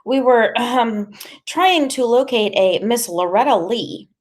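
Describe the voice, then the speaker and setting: Woman playing a nervous polite boy, pitched up. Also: animated, takes it slowly. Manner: formal, hesitant, polite. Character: small brainy boy, nervous and formally polite.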